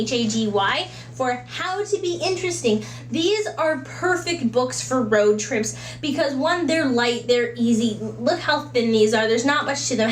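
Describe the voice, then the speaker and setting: High-voiced woman chatting in an echoey room. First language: English. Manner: conversational, acts out little imagined quotes and asides. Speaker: book reviewer giving recommendations. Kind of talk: casual, chatty video book recommendations. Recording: roomy echo with faint background hum.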